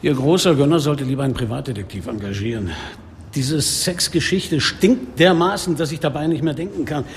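An actor playing a weary, defiant middle-aged police inspector who narrates and speaks bluntly in a German radio crime drama. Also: male voice, a little high, brisk, slight background noise, close to an everyday voice. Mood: weary, scornful. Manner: blunt, sardonic, literary phrasing. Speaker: lead actor and first-person narrator.

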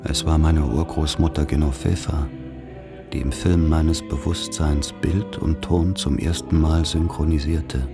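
An actor in a radio play playing a grave man who recalls a dark rural childhood, speaking in solemn, measured, poetic phrases with a husky voice. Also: rumbling low, fairly even intonation, loud music behind the voice. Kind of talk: literary radio play monologue.

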